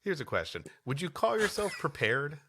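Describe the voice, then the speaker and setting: A man's voice: on the high side, wildly swooping pitch, normal speed, pushed and bright. A man co-hosting a live podcast, bantering and reading stories aloud in a mock-announcer manner. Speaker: podcast co-host.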